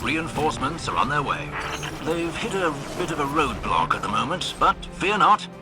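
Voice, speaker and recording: An actor playing a courteous, drily understated older gentleman scout with a British accent in a video game. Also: on the high side, big pitch swings, moderate pace. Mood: reassuring. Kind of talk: cinematic game voice acting. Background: music.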